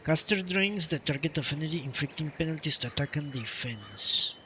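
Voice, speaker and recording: A man's voice: fairly high pitch, animated, brisk, bright, forceful tone, a little hiss or hum. A man live streaming a game, casually thinking aloud and muttering to himself as he reads menus. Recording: clear game music behind voice. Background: video game music.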